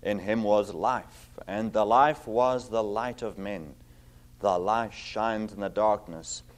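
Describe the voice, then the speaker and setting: A middle-aged man with a South African accent, giving a sermon as a guest preacher. His voice sits on the low side. He talks in an earnest way with plenty of ums, then reads scripture slowly and firmly. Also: darkish tone. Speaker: visiting preacher.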